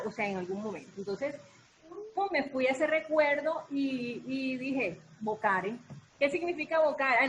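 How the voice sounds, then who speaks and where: A woman talking casually and reflectively as an artist, pausing and repeating words for emphasis. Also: fairly high pitch, fairly bright tone, slight background noise, poor audio. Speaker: voice artist, founder of an artistic collective. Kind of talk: casual reflective talk in an online discussion. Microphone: video call.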